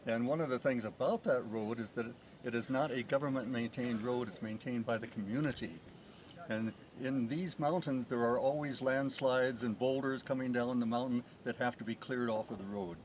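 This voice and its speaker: An enthusiastic middle-aged man from the United States speaks outdoors in the mountains, at an ordinary pitch for a man. His voice is descriptive and warm with interest. Clear background noise runs under an open-air recording. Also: fairly even intonation, normal speed. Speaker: tourist guest. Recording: noisy outdoor ambience.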